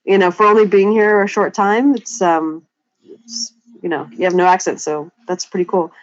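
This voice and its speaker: A woman co-host who asks a guest questions in a relaxed, chatty way. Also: fairly low pitch, moderate pace, dry recording. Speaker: podcast co-host. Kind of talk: interviewing a guest. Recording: dull lo-fi sound.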